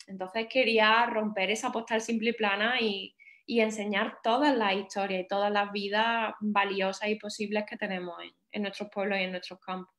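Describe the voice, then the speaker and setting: A woman's voice: medium pitch, little pitch movement, normal speed, fairly bright tone. A woman from Spain answering questions as a guest poet at a reading, talking in a thoughtful way and often checking in with short tag questions. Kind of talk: conversational answers at a poetry event.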